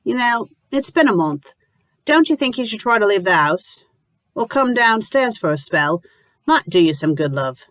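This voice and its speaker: A woman voicing a brisk, bossy middle-aged landlady who talks firmly and sternly. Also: medium pitch, big pitch swings, fairly bright tone, no room sound, voice pushed up. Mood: coaxing.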